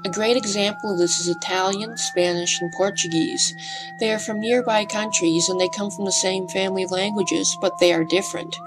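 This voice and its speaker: A woman's voice: mid-range voice, steady tone, fairly quick, low-quality recording. Woman narrating carefully over music. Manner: careful, somewhat hesitant, breathy delivery. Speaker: amateur documentary narrator. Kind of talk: scripted narration.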